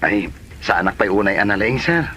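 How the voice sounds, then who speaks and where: A man's voice: fairly low pitch, lively intonation, a little fast, sharp tone, slight background noise. A man playing a young mechanic and suitor in a comedy drama, by turns boastful and awkwardly deferential. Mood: nervous, respectful. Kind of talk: Ilocano comedy drama acting.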